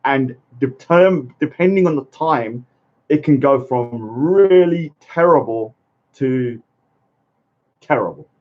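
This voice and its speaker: A man's voice: medium pitch, animated, takes it slowly. A man delivering a blunt, combative ranting monologue, repeatedly saying "let me say something" and checking with "yeah?". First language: English. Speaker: speaker's corner vlogger. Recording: dull lo-fi sound.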